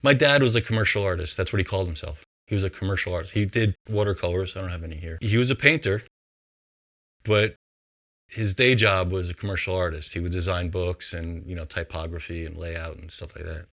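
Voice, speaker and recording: Low-voiced man talking through his craft. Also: fairly quick, a bit bright. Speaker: composer and songwriter. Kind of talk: casual explanation of songwriting.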